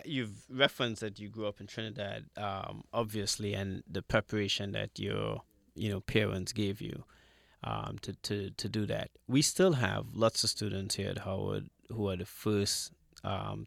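Male radio host, low creaky voice, close dry sound, formal and deliberate interview delivery. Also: steady tone, fairly bright tone, dry recording. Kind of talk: measured interview questioning.

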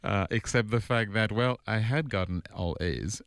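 A man from Haiti with a very low voice tells his life story as an interview guest. He speaks in a measured, thoughtful way, pausing often and filling gaps with "uh". The recording is close and dry. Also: a bit bright, clean tone, no room sound. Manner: measured and reflective, frequent pauses and "uh" fillers. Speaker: engineering dean, guest.

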